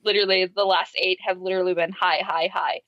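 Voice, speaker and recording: Animated woman co-hosting, slightly dull mic. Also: fairly low pitch, fast, no echo. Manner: animated, acts out quoted messages, laughs easily. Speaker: podcast co-host. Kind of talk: casual podcast discussion.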